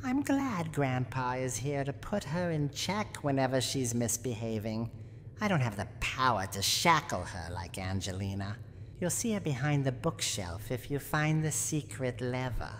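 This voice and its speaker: In a long, noisy hall reverb, a cranky old granny villain with a very low voice. She speaks haughtily and theatrically, as if from a horror game audio drama, with pitch that swings widely. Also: very animated, a bit bright, exaggerated voice. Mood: defiant, scornful. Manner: menacing, haughty, slow and theatrical. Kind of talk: dramatic scripted roleplay monologue, ASMR audio drama. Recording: long hall reverb, audible background noise.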